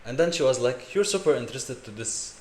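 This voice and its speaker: A young man in his twenties from Lebanon with a Lebanese accent, telling his story as an interview guest in a relaxed way with fillers. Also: medium pitch, fast talker, echoey room, a little hiss or hum. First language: Arabic. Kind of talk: casual interview.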